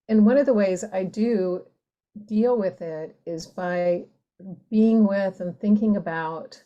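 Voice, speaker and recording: A woman poet talking reflectively and reading her work in a thoughtful, deliberate way. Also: on the low side, dark tone. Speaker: poet, podcast guest. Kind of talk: reflective talk and poetry reading.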